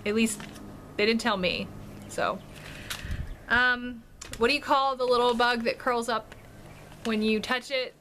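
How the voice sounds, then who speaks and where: Vlogger, female, light Chesapeake accent, talks in a bright, higher voice, giggly and rambling. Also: steady tone, a little slow, pauses often, a little hiss or hum. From Washington, D.C. metro area. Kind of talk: casual chat to camera. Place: home in North Carolina.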